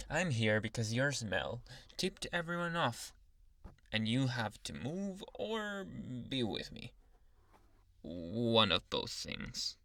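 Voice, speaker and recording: A man voicing a dominant but awkwardly polite alpha male werewolf in an intimate, halting ASMR roleplay, with sighs and murmured asides. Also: mid-range voice, bright, forceful tone, no echo, exaggerated voice. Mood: firm, amused. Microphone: close, dry microphone.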